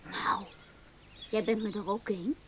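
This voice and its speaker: An actress playing a lively young woman in a radio play, with a mocking, playful, goading manner. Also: mid-range voice, slow, a little hiss or hum, lightly acted. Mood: subdued.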